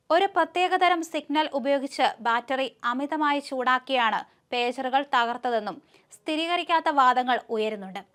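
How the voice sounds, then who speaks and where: Lady reading a news script, pretty high pitched voice. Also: a bit bright. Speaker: news presenter.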